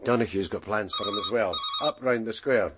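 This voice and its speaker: An actor playing a gruff, wry police detective with a husky voice in a radio crime drama. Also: male voice, fairly low pitch, fairly quick, very noisy, lightly acted.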